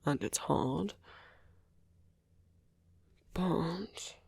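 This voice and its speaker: A villain murmurs at very close range in a dry, intimate audio roleplay performed by a man. The words come slowly, with lingering pauses and plenty of gravelly creak. The tone is sly and teasing, yet tender toward a supposed enemy. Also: fairly high pitch, no echo, exaggerated voice. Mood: gentle, careful. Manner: unhurried, hushed, pausing between phrases. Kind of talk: intimate ASMR roleplay acting.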